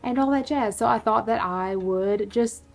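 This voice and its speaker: A woman hosting her own channel, giving an unscripted casual update to viewers, a little hoarse and pausing for breaths. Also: a little high, darkish tone. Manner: unscripted, breathy pauses, laughs often. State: slightly hoarse, short of breath. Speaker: reptile channel host.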